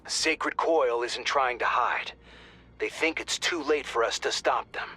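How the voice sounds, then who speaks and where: A man's voice: medium pitch, measured pace, pushed and bright, husky. An actor playing a wry male mission handler who talks the squad through operations in a conversational tone.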